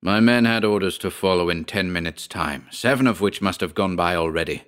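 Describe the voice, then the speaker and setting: A man's voice: low voice, fairly quick, clear, resonant tone, lightly acted. A man voicing a proud aristocratic landowner with a fierce, haughty manner in a storybook tale. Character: proud, fierce aristocratic landowner, indignant at his trapped enemy.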